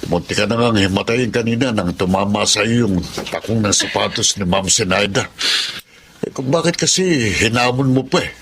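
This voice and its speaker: A man acting a concerned, kindly household servant in a radio drama, deferential and gently preachy. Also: lowish voice, moderate pace, vocal fry, slight background noise. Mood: concerned, chiding.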